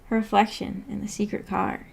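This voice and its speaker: A woman's voice: medium pitch, level tone, normal speed, gravelly, slight background noise. A woman streamer chatting casually with viewers, rambling and correcting herself as she goes. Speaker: streamer hosting a book club chat. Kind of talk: casual livestream chat, reading and answering comments. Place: indoors at home, upstairs room.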